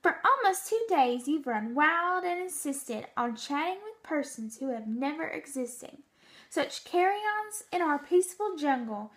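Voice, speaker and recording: A haughty storybook kangaroo, acted out by a woman, bossy and full of scorn. The high voice snaps out each phrase with emphatic disapproval. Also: sing-song, measured pace, a bit bright, full character voice. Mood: scornful. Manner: snappish, emphatic.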